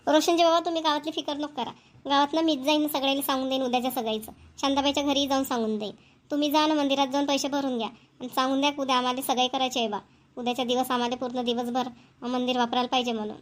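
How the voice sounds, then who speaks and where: An insistent, dutiful village wife and mother from a cartoon comedy, acted by a woman. Her voice is high and she rattles along quickly and chattily in a Varhadi Marathi accent. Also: level tone, lightly acted.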